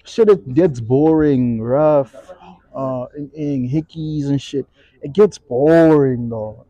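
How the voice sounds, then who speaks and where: A man's voice: medium pitch, slow, mellow, no echo, highs cut off. A young man in his twenties with a South African accent, co-hosting a podcast in slangy, joking banter. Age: 20s. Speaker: podcast co-host. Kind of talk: casual chat.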